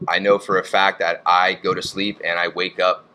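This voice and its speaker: A man, a managing partner, speaking in a measured, businesslike way in a casual interview. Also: sits low, flat delivery, bright, forceful tone. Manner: measured, businesslike, talks in brand terms.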